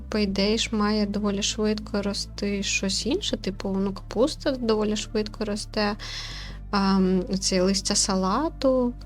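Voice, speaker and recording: A woman hosting a casual let's play, commenting informally and playfully as she nitpicks. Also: medium pitch. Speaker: gaming host, player commenting. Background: game music.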